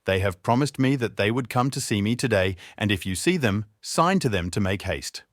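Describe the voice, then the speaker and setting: A man's voice: on the low side, animated, normal speed, dry recording, studio quality, lightly acted. A man voicing a frightened young wife in a fairy tale, pleading anxiously in formal, archaic words. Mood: urgent, desperate. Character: distressed, pleading young wife in mortal fear. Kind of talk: storybook character voice in narrated fairy tale.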